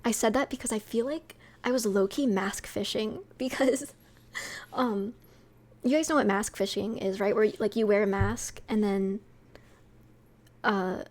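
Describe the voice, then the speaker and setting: A woman's voice: mid-range voice, steady tone, unhurried. A young woman with a sweet voice, chatting casually with her stream viewers, rambling with lots of fillers and light laughs.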